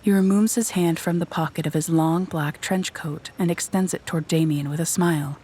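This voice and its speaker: A woman reading scripted narration for a dark audio drama in a measured, descriptive storytelling manner. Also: on the low side, fairly even intonation, slight background noise.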